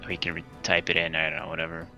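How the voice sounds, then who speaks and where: A man's voice: rumbling low, completely flat delivery, very fast, creaky, pushed and bright, faint music behind. A man playing a tabletop roleplaying game, chatting casually as he works out his moves.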